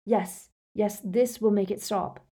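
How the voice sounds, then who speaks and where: A woman's voice: mid-range voice, fairly even intonation, takes it slowly, warm tone, slightly rough, pitched above the performer's own voice. A woman voicing an earnest, anxious teenage boy in an audiobook story, strained and urgent. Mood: urgent reassurance.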